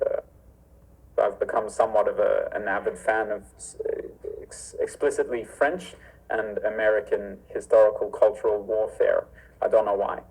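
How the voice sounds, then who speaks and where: A man's voice: lowish voice, creaky, darkish tone, breathy edge, rough recording. A man born in Zimbabwe, a stunt performer guest on a remote call, talking earnestly and solemnly with many restarts. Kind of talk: earnest interview talk.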